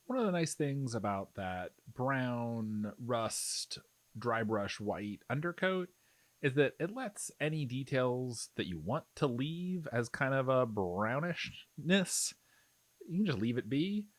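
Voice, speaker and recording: A man's voice: mid-range voice, sing-song, takes it slowly, no echo. A man giving a casual hobby tutorial as an instructor, explaining things in an easygoing, reassuring way. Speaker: miniature painting instructor.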